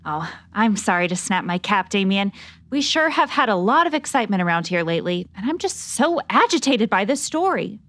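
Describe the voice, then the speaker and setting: A woman's voice: medium pitch, big pitch swings, brisk, fairly bright tone. A woman playing a harried, snappy ace newspaper reporter in a 1940s-style radio drama, with sassy vintage slang. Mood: flustered, agitated.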